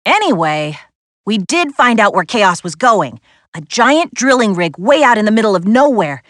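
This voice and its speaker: A woman voicing a confident, spirited young adventurer in a video game, briskly giving missions with an earnest, lightly wry manner. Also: mid-range voice, big pitch swings, a bit bright, no echo, clean studio sound. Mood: focused, determined. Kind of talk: video game character voice acting.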